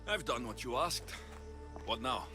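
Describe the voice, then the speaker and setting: Sardonic mercenary hero, video game: mid-pitched, lively intonation, dry confidence, music underneath. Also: male voice, measured pace. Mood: matter-of-fact. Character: wry, confident male mercenary hero of ancient Greece. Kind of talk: video game dialogue acting.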